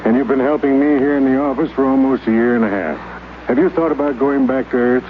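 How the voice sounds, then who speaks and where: An actor plays a middle-aged space station chief from a 1950s radio play, dry and measured with a gruff kindness. His voice sits in a plain middle register. It comes through a tinny, narrow old broadcast recording. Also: medium pitch, a little hiss or hum, close to an everyday voice. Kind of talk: 1950s radio drama acting.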